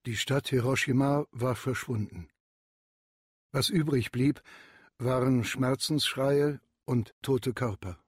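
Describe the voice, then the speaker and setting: A man's voice: mid-range voice, slow, breathy edge, dry recording, studio quality. A man playing a solemn survivor narrating memories in a radio drama. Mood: somber, measured. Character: solemn survivor recalling the bombing from childhood.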